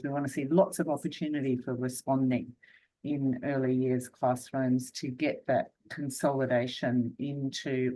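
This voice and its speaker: Low-voiced woman academic on a panel. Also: a little mellow, poor audio. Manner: fluent, emphatic, many fillers and restarts. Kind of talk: panel discussion.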